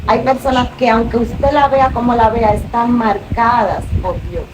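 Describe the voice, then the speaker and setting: A woman's voice: on the high side, steady tone, moderate pace. Dominican woman giving an earnest testimony. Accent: Dominican Spanish. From Dominican Republic. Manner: earnest storytelling, rising with emotion. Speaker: minister, interview guest. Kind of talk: testimony interview. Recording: distant mic, noisy room.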